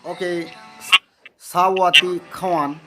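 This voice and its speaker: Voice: medium pitch, flat delivery, takes it slowly, sharp tone, faint music behind. A host with a Bangladeshi accent chatting casually in simple English, often repeating phrases and questions. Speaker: host practicing English with strangers.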